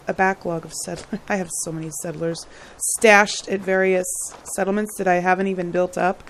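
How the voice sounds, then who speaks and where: A woman with a hoarse throat, chatting casually and rambling as she hosts a gaming build video, often laughing and clearing her throat. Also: medium pitch, slight background noise, low-quality recording. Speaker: gaming channel host. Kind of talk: casual gameplay commentary.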